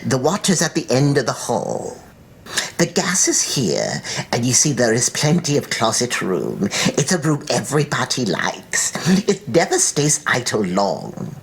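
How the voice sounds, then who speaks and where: A woman's voice: a bass voice, wildly swooping pitch, unhurried, creaky, exaggerated voice. A woman playing a fawning, unwholesome housekeeper in an audiobook, with folksy, ungrammatical landlady patter. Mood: ingratiating, salesy. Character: fawning, unwholesome older housekeeper renting out rooms. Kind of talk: acted audiobook character dialogue.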